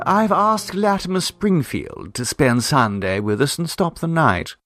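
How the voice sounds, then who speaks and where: A man putting on a bossy, high-pitched society wife. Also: big pitch swings, a little slow, fairly bright tone, faint music behind. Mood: brisk, emphatic. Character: brisk, well-to-do Edwardian wife, bossy and fussily considerate.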